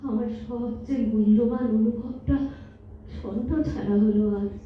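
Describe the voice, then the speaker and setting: A woman's voice: on the high side, steady tone, measured pace, lightly acted. A woman playing a sentimental old wife in an audio drama, speaking in a dreamy, poetic way. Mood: nostalgic, tender. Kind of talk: Bengali stage audio drama. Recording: muffled, echoey hall from far off, noisy.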